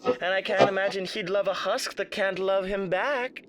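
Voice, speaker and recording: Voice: medium pitch, normal speed, close to an everyday voice. An actor playing a smug, sadistic male radio demon in an ASMR roleplay, theatrical, mock-polite and taunting. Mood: silky menace.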